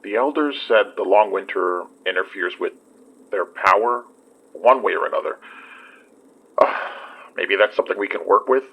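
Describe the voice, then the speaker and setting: A man's voice: fairly low pitch, normal speed, creaky, slightly rough. An actor playing a polite, practical and uneasy male companion in a naturalistic audio drama. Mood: concerned. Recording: muffled, band-limited audio.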